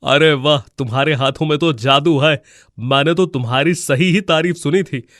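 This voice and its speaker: A man playing a friendly, delighted food-stall customer who speaks effusively in an animated moral story. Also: on the high side, moderate pace, dry recording, studio quality, lightly acted. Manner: effusive, praising.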